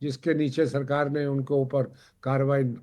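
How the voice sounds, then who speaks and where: A man explaining points as a TV panel guest, haltingly, often repeating and rephrasing his words. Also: medium pitch, monotone, mellow. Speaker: panel guest on a consumer affairs TV show.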